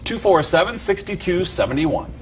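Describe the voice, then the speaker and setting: High-voiced male news anchor. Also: big pitch swings, normal speed, a little hiss or hum. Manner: joking, lighthearted. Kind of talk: studio news banter.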